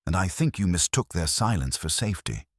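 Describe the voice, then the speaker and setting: Casting: man as unhurried detective inspector for audio mystery, very deep, understated, quietly relentless, dry close sound. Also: sing-song, dry recording. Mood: calm, relentless. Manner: measured, understated, softly spoken. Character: quiet, unhurried, probing male police inspector.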